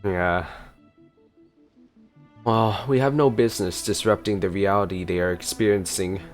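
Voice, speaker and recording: A man's voice: deep voice, steady tone, unhurried, faint music behind. A man giving casual, off-the-cuff reactions as he plays through a game. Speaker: gamer reacting to a playthrough.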